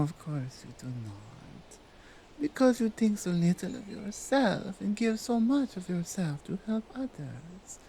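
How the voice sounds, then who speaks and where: A woman voicing a dominant yet tender female Krampus in an ASMR roleplay, with a Nordic accent and halting, deliberate broken English. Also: on the low side, warm tone, slight background noise, rough recording. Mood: teasing, doting. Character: dominant, tender, seductive female Krampus, otherworldly gift-bringer.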